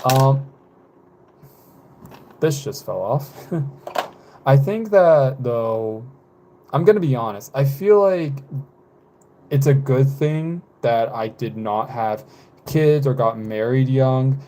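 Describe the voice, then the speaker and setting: A man in his twenties chatting casually with live viewers, rambling and hesitant, with many fillers, self-corrections and soft laughs. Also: mid-range voice, lively intonation, slow pace, pauses often. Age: 20s. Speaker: live streamer.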